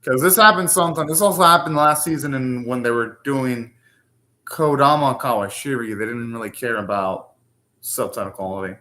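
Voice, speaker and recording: A man's voice: mid-range voice, steady tone, normal speed, pushed and bright. A young man hosting a live anime reaction, talking casually, ranting and swearing now and then.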